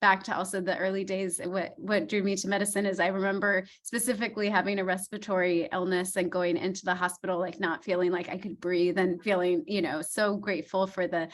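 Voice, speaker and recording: A woman's voice: mid-range voice, fairly even intonation, fairly quick, few pauses. A woman in her thirties, an obstetrician, hosting an online panel in a casual, encouraging way with frequent ums.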